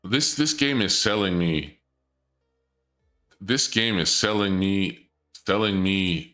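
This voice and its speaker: A young man streaming and chatting casually, rambling and joking in a theatrical dark-lord persona. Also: low-pitched, slow pace.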